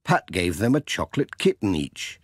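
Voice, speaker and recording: A man reading a children's storybook as an expressive audiobook narrator. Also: low-pitched, normal speed, slightly rough, no echo, clean studio sound.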